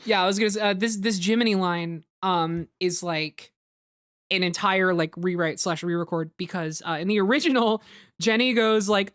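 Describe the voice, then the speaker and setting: A woman giving casual group commentary as host, talking in a halting, rambling way and swearing freely. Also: mid-range voice, dry recording, studio quality. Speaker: host and director of a fan dub, giving cast commentary. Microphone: close mic on an online voice call.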